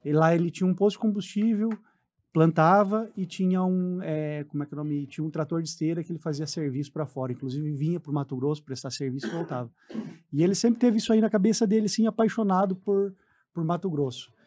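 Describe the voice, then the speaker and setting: Southern brazilian guy in his 40s chatting on radio, mellow, a bit high pitched. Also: steady tone, fast talker. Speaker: interview guest, businessman. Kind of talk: casual storytelling in a radio interview. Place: radio studio.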